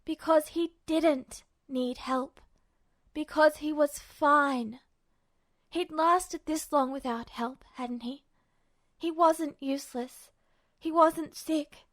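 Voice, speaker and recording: High-voiced woman narrating fiction. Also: dry recording, studio quality. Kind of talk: expressive scripted story narration.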